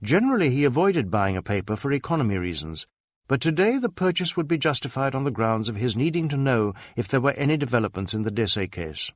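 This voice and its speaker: A man's voice: medium pitch, very animated, fast talker, no room sound. A man reading a detective novel aloud as scripted dramatic narration. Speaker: audiobook narrator.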